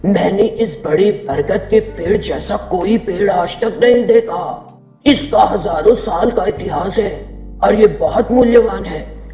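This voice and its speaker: A man's voice: sits high, takes it slowly, warm tone, roomy sound, faint music behind. A man voicing a power-hungry, menacing female ghost in a story, gloating and boastful as a villain. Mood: scheming, gloating.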